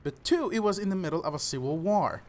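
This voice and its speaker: Young man, Salvadoran Spanish accent, slightly high pitch, earnest casual storytelling, gaming channel host, faint background noise. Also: lively intonation, fast. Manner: earnest, addresses listeners as "guys". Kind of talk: casual personal storytelling over gameplay commentary.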